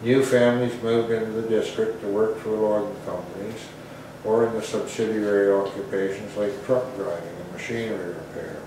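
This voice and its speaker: A man's voice: lowish voice, steady tone, normal speed. A man in his seventies reading aloud from a local history book in a wood-panelled room, picked up at a distance. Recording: roomy, distant mic, noticeable background noise.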